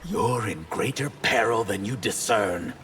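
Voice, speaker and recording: A proud, aloof mage from a video game, stern and defiant. His lowish voice is formal and imperious, over faint ambient noise. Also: measured pace, a bit bright. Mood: stern defiance. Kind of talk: video game drama acting.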